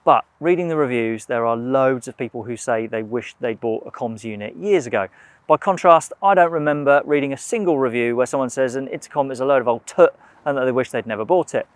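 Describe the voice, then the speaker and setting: A man with a British accent and a mid-pitched voice narrates a scripted product review. He speaks as a presenter, in a measured way, setting out each point in a clear structure. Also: no room sound.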